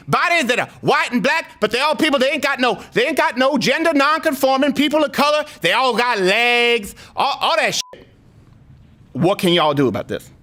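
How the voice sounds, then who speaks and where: A very high, sharp-edged voice an aggrieved gender non-conforming single mom on a prank complaint call. The speech is streetwise and emphatic, rambling along and circling back on its demands. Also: male voice, full character voice. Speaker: prank caller. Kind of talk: improvised prank phone call.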